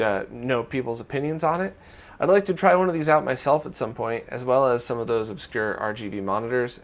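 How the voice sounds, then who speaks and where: A man presenting an informal retro gaming news roundup in a conversational, opinionated way. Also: medium pitch.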